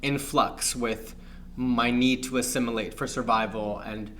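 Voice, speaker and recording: Young male guest from Pakistan, measured reflective delivery, mid pitch, light background hum. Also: steady tone, fairly bright tone. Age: young adult. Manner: thoughtful, measured, many fillers. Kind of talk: reflective interview talk.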